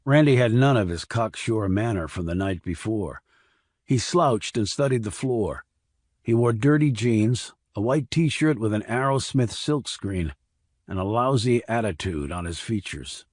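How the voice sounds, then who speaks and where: A man narrates a crime thriller audiobook in a low-pitched voice. The recording is dry and close, with very little room sound. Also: expressive, measured pace, darkish tone, no echo.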